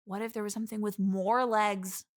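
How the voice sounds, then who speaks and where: A woman's voice: mid-range voice, fairly even intonation, normal speed, natural voice. A woman playing a needy, anxious, dramatic young woman who rambles earnestly in an improvised comedy conversation.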